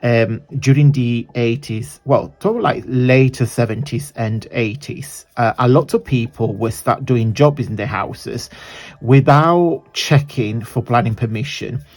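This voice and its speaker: Italian man, croaky this morning, casual rambling craft host who corrects himself mid-sentence, mid-pitched voice. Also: measured pace, darkish tone. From southern Italy. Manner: rambling, chatty, self-correcting. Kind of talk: chatty crafting tutorial.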